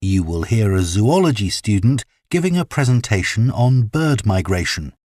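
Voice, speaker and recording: Male announcer, husky low voice, lively intonation, formal. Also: dry recording. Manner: formal, deliberate reading. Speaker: exam instructions announcer. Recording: dry studio voice recording.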